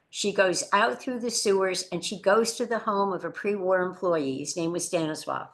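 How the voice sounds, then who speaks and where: A woman Holocaust educator, giving an earnest lecture that tells rescue stories and drops quietly at somber moments. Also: mid-range voice. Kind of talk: lecture presentation.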